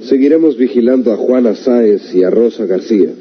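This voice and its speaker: Muffled old-radio actor as stubborn Mexican lawyer. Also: male voice, mid-range voice, fairly quick, lightly acted. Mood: dismissive, insistent. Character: stubborn, self-assured male government lawyer. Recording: muffled old radio recording, faint noise.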